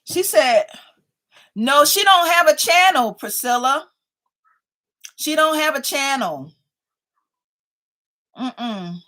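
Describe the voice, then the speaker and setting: Slow-talking playful woman in her fifties. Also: high-pitched, lively intonation, sharp tone. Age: 50s. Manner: playful, chatty, keeps addressing viewers as y'all. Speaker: livestream host. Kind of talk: casual live chat reading viewer comments.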